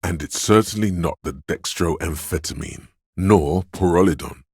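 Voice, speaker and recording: A man's voice: deep voice, a little slow, darkish tone, breathy edge, dry recording, studio quality, heavily acted. An actor playing a seductive, hedonistic inner voice of addiction in a video game, coaxing and insinuating as he savors his words. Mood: coaxing, craving. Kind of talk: dramatic game narration, second-person coaxing.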